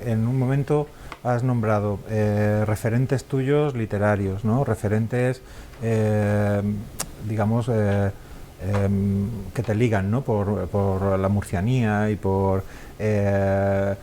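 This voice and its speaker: A man interviewing an author, asking his question in a halting way with many pauses and restarts. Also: on the low side, dark tone, a little hiss or hum.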